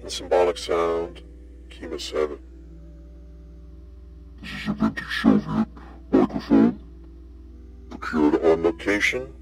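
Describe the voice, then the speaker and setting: A man demonstrating in a tutorial, his voice resynthesized through a live pitch shifter into a synthetic, lowered sound. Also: very animated, slow, creaky, long pauses, music in the background. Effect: live pitch shifter with oscillator-bank resynthesis, shifted very low.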